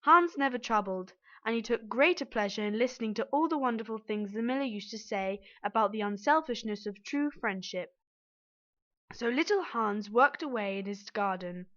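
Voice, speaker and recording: A woman's voice: fairly high pitch, normal speed, fairly bright tone, highs cut off. A woman reading a scripted fairy tale aloud as the storyteller.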